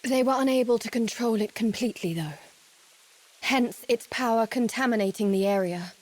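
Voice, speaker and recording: A woman's voice: fairly high pitch, moderate pace, darkish tone, close to an everyday voice, poor audio. A woman playing a dutiful, resolute young valkyrie in a fantasy video game, formal, composed and earnest.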